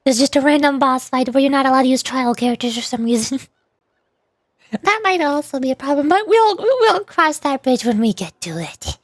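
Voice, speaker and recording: A woman's voice: high voice, animated, moderate pace, no echo, clean studio sound. A woman livestreamer chatting casually to viewers, rambling and thinking aloud as she goes.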